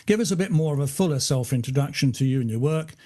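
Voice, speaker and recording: Measured male podcast host. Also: a little high, expressive, mellow. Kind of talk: scripted podcast narration.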